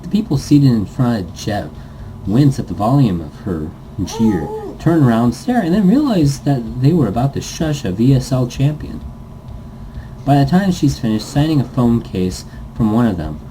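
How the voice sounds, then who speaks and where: A man's voice: medium pitch, very animated, moderate pace, dark tone, slight background noise, low-quality recording. A man reading visual novel narration aloud dramatically, stumbling now and then and rereading phrases. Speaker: reader, host of a let's read series.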